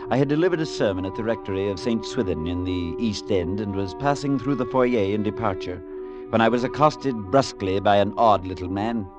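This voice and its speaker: An actor playing an earnest, courteous clergyman in an old-time radio drama, speaking with measured, formal gravity. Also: lowish voice, lightly acted. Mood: grave, reflective. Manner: measured, grave, formal storytelling. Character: earnest, courteous, compassionate clergyman recounting a dark tale. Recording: muffled old radio recording with music.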